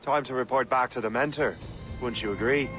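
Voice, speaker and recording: Cocky young Irish sea captain, played by an actor. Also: male voice, medium pitch, fairly quick, lightly acted. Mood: calm. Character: cocky, good-humoured young Irish sailor turned captain. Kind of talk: video game cutscene acting. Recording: loud music behind the voice.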